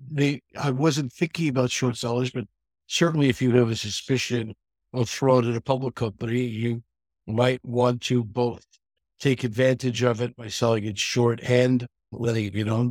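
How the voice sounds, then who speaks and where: Husky man hosting a podcast interview. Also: medium pitch, fairly even intonation, moderate pace, a little mellow, no room sound. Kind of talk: conversational interview questioning.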